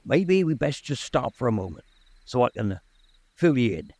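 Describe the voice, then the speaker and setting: Guy putting on a big gruff gamekeeper, halting rough dialect, sniffly, voice swings all over. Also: mid-range voice, very animated, warm tone, no room sound. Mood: nervous. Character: huge gruff tearful man, gamekeeper.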